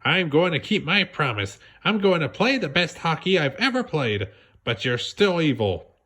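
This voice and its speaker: A man voicing an eager, earnest young sea sponge in an excitable, emphatic storybook character voice. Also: on the high side, moderate pace, voice pushed up, full character voice. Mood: indignant.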